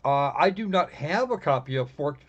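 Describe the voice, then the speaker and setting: A man hosting a live game stream in casual chat, often halting and restarting his phrases. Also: fairly high pitch, animated, highs cut off.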